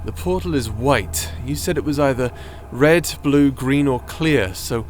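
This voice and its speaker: A man's voice: mid-range voice, measured pace, soft background music, lightly acted. A man playing an impassioned, guilt-ridden hero in a fantasy audio drama, speaking emphatically and stammering when upset. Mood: puzzled, uneasy. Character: Odin, impassioned guilt-ridden man determined to save his friends. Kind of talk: fantasy audio roleplay acting.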